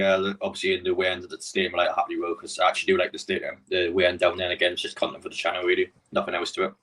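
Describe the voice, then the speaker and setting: Fast-talking Geordie lad on a live stream. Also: male voice, lowish voice, fairly bright tone, distant mic. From Newcastle. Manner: chatty, rambling, answers chat messages. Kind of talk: casual live-stream chat.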